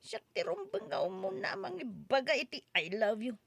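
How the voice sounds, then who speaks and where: A woman playing an excitable, scolding village woman in a comedy drama, with an Ilocano accent and expressive, exclamatory delivery. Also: fairly high pitch, normal speed, gravelly, a crowd in the background. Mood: flirty, coy.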